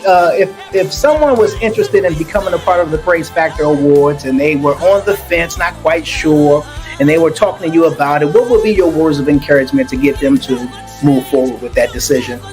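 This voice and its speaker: A woman hosting a casual interview, effusive and halting, repeating words as she goes and laughing often. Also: low voice, lively intonation, darkish tone, soft background music. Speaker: interview host.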